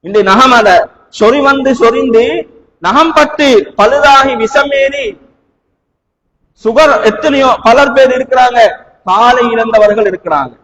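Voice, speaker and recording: High-pitched Sri Lankan Tamil male preacher, echoing hall. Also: a little slow, pauses often, a bit bright. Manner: emphatic, dramatic, with rhetorical questions and repeated phrases. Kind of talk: sermon with storytelling. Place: large mosque hall. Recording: reverberant hall.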